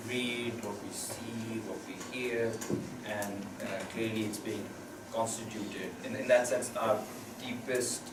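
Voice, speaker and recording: Earnest man, distant roomy mic. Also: fairly low pitch. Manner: earnest, measured, slow build with frequent um and uh. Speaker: seminar panelist. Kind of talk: academic panel discussion. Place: university seminar room. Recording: roomy echo, distant mic, noisy background.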